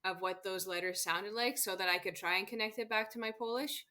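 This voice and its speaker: A woman's voice: medium pitch, steady tone, fairly quick, pushed and bright. A woman from Canada, a guest on an interview show, chatting casually about travel and laughing often. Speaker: guest, solo travel expert.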